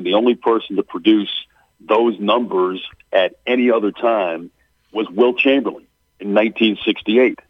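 A man's voice: on the low side, husky, dry recording. A man, a basketball announcer as guest, answering emphatically and leaning on "I mean". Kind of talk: radio interview answers. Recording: phone line.

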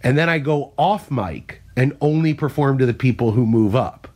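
Chatty comedian telling stories. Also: male voice, mid-range voice, normal speed. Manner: storytelling, self-deprecating, animated when telling bits. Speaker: stand-up comedian and podcast host. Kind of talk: casual podcast chat.

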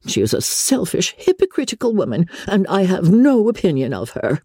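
A woman voicing an excitable, querulous middle-aged mother in an audiobook of a classic novel, shrill, gushing and complaining. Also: moderate pace, slightly rough, no echo, clean studio sound. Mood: resentful, scolding.

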